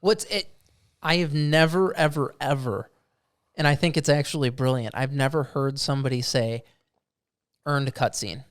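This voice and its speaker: A man's voice: medium pitch, steady tone, normal speed. A man chatting casually as a podcast co-host, agreeable and informal, with a loose, sweary way of talking. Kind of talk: casual chat.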